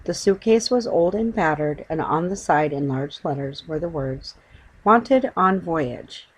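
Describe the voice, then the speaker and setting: A woman's voice: low-pitched, moderate pace, a little mellow, slightly rough, poor audio. A woman reading a children's story aloud as scripted audiobook narration.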